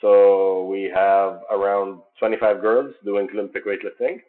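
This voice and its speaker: A man from Jordan, founder of a sports program, answering interview questions in an earnest, measured way with many fillers. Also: fairly low pitch, level tone. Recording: tinny phone-like line.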